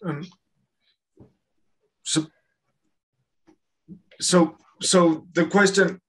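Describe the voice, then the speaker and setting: A man's voice: a little high, steady tone, extremely slow, a bit bright, breathy edge, low-quality recording. A man moderating an online debate, politely passing questions to the panelists. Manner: polite, guiding the discussion.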